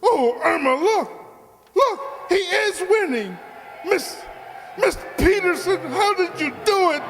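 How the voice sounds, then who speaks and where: Blustering high-voiced male boss played by an actor. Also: expressive, slow pace, fairly bright tone, exaggerated voice. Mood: amazed. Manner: blustering, exclamatory. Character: excitable, exasperated male boss who owns a racehorse. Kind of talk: old-time radio comedy acting. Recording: crowd noise behind.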